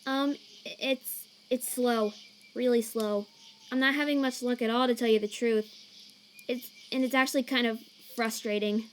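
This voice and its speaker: A woman voicing a nervous female monster scientist in a fan comic dub, earnest and anxious, with a halting stammer. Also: a little high, fairly even intonation, a little hiss or hum, lightly acted. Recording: chirping crickets and night ambience behind.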